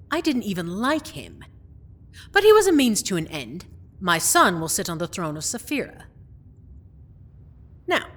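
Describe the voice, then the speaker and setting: A woman playing a cold, scheming royal stepmother in a fantasy audio roleplay, mockingly sweet and condescending, with drawn-out taunts. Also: mid-range voice, fairly bright tone.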